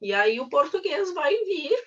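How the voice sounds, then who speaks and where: A woman's voice: high-pitched, big pitch swings, a little slow, recorded from a distance, lo-fi sound. A woman hosting a video call interview, chatty and reassuring, often restarting her sentences. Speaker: interview host.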